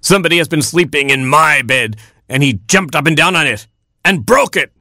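A man's voice: fairly low pitch, normal speed, fairly bright tone, dry recording, studio quality, natural voice. A man voicing a proud, grouchy father rooster in a storybook, with pompous delivery. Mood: indignant. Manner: pompous, declamatory. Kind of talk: storybook character voice.

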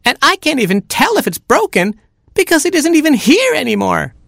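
Reader voicing a tiny excitable chick boy, squealing, very high, dry close sound. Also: brisk, vocal fry, dry recording, heavily acted. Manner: shrill, squealing and breathless.

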